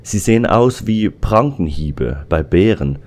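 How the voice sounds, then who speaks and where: An actor playing a weary, troubled coroner in a German audio drama, speaking in a deliberate, brooding, clinical way. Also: male voice, low-pitched, animated, darkish tone. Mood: weary, baffled. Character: weary, troubled male small-town coroner. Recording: echoey hall reverb, music behind.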